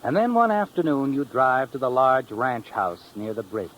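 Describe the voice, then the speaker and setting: A man's voice: medium pitch, sing-song, normal speed, noisy background, natural voice. An actor playing a suave, knowing male narrator who needles the listener in a 1950s radio suspense drama. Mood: knowing, suspenseful. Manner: knowing, needling, with tag questions. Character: suave, insinuating male narrator addressing the protagonist as "you". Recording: old radio recording with music.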